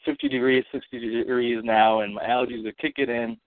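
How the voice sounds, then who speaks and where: A man's voice: mid-range voice, fairly even intonation, no room sound. A congested man hosts a casual call-in podcast, stumbling through fillers and restarts. His voice comes over a tinny, narrow phone line. The sound is dry and close, with no room at all. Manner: halting, many fillers and restarts. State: stuffy from allergies and a cold. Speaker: podcast host.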